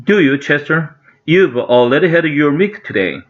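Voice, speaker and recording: A man voicing a calm, firm mother who speaks in a measured way. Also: on the high side, voice pushed up, close to an everyday voice. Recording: dull lo-fi recording.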